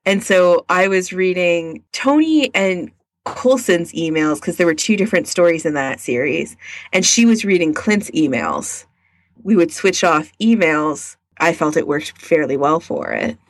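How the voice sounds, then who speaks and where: Female podcast co-host, slightly low pitch, informal conversational delivery, close dry sound. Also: big pitch swings, unhurried, dry recording. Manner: informal, peppered with "like". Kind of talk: casual podcast chat.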